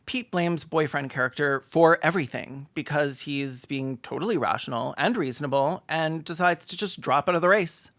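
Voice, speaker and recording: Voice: medium pitch, moderate pace, hardly any pauses, fairly bright tone, no echo. A video commentator giving sarcastic, exaggerated comedic reactions with casual swearing.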